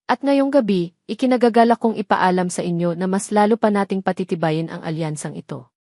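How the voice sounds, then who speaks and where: A woman's voice: medium pitch, brisk, warm tone, no echo, close to an everyday voice. A woman narrator plays a proud, wealthy patriarch in an audio story. The delivery is formal and declamatory, like a prepared announcement to the family. The recording is dry.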